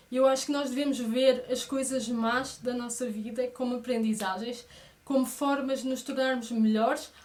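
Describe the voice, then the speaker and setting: A woman's voice: a little high, a little mellow. A woman of thirty with a European Portuguese accent, chatting casually and expressively to her viewers as a vlogger. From Brazil, moved to Portugal aged six. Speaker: vlogger introducing her channel.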